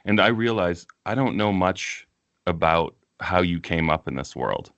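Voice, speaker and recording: A man with a very deep voice hosts an interview. He speaks in an earnest, measured, thoughtful way, and the dry, close sound leaves no room echo on his voice. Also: animated, a bit bright, lo-fi sound. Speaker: podcast host. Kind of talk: interview conversation.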